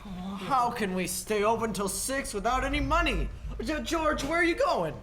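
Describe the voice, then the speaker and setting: Man as a very high-voiced, panicky old uncle. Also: animated, normal speed, a bit bright. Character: panicky, befuddled older uncle at a savings firm. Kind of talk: 1940s radio play acting. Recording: live stage recording with background noise.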